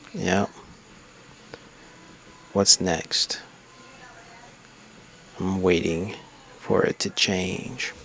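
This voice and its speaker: Low-voiced man reminiscing casually. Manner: rambling, reflective, reminiscing. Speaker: travel vlogger narrating own photos. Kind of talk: casual unscripted slideshow narration. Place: untreated room at a desk.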